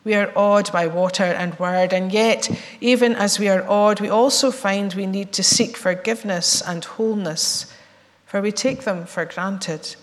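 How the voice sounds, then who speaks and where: A woman minister with a slightly croaky voice, leading a slow, measured prayer and then chatting playfully with children as she hands out water. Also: mid-range voice, steady tone. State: croaky, recovering voice. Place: church sanctuary. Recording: echoey church sanctuary.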